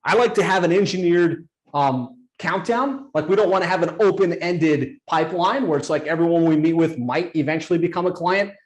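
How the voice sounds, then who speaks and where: Upbeat man hosting a sales webinar. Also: on the high side. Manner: brisk, coaching, acts out sample sales lines. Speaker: workshop host. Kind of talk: live webinar teaching. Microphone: video call.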